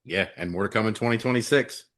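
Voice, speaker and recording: A man's voice: lowish voice, quick pace, fairly bright tone. A man co-hosting a wrestling podcast in casual, chummy chat with some mild swearing. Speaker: podcast co-host.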